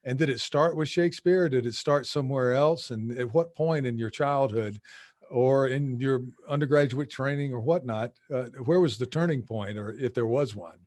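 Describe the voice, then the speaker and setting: A man from the American South hosting an interview, asking long, rambling, animated questions. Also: mid-range voice, moderate pace. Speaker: podcast host. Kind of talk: conversational interview.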